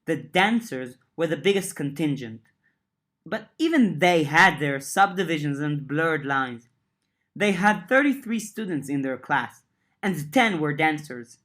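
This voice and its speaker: A woman with a notably low voice reads a chapter of a serial story aloud. She narrates steadily and raises her pitch when characters speak. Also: expressive, unhurried. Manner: reads dialogue with lifted pitch, narration lower and softer. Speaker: audiobook narrator. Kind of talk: audiobook reading of a web serial.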